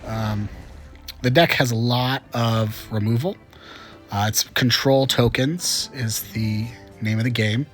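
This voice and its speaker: A man's voice: medium pitch, slow pace, soft background music. A chatty, upbeat man hosting casual gameplay commentary with frequent fillers, a little congested from a cold. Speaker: gaming channel host.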